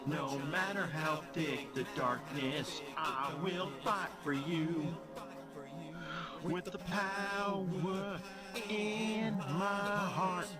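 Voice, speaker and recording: A man's voice: high-pitched, extremely slow. A man singing a heroic theme song. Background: loud music.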